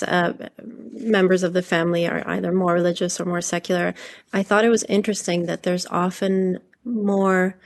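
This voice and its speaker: A woman's voice: fairly low pitch, gravelly. A woman from Hungary being interviewed as a novelist, talking reflectively and conversationally with tentative phrasing.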